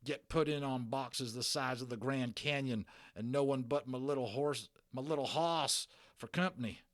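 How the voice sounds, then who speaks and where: A man voicing a cranky, self-pitying tiny cowboy with a Texas accent and a gruff, shouting drawl. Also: medium pitch, normal speed, no room sound, studio quality, voice pushed up, lightly acted.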